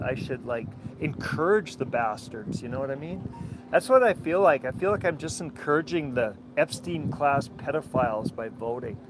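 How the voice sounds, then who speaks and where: A man from Minnesota with an Upper Midwest American accent, talking casually and haltingly from his sailboat, full of restarts and blunt asides. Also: on the high side, background noise. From Minnesota, USA. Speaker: sailing vlogger. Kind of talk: rambling casual vlog chat. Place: outdoors on a sailboat.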